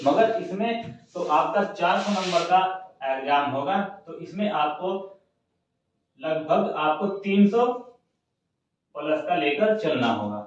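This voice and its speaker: A man's voice: a little high, very echoey. Male exam coach, instructive, repeats key points, distant mic, slightly muffled sound. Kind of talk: informal exam guidance talk to students.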